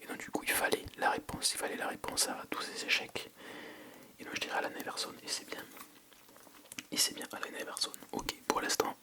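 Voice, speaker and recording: Whispered French ASMR voice, soft and breathy. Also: a little fast. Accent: French from France. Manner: hesitant, informal, thinking aloud. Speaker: ASMR video creator. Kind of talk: ASMR whispering, casual unscripted quiz chat.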